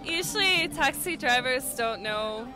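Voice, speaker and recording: A woman answering a street interview outdoors in a hesitant, unhurried way. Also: sits high, expressive, over music.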